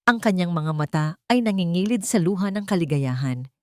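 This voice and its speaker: A woman narrating a scripted story in an earnest, sentimental, measured way. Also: lowish voice, lively intonation, warm tone, no room sound, studio quality.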